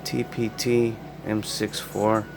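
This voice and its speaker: Flat, unhurried narrator over loud music. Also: mid-range voice. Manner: laid-back, informal, unhurried. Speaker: unboxing video host. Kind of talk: casual unboxing narration.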